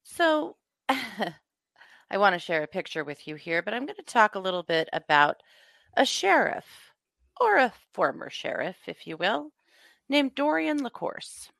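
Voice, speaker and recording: Woman co-host, hoarse, gravelly, animated pitch, dry close sound. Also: unhurried, no room sound. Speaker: podcast co-host. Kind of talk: casual chat and news recap.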